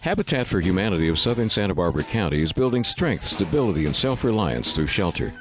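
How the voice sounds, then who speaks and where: A man announcing a read radio spot with expressive, promotional delivery. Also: low voice, fast talker. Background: music bed.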